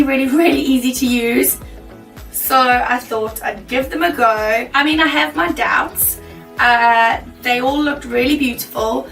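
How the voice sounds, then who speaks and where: Croaky chatty woman vlogger, high gravelly voice, faint music behind. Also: fairly bright tone, roomy sound, far from the mic, poor audio. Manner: chatty, bubbly, laughs often. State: croaky, losing her voice. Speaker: baking vlogger. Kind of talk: casual chat to camera.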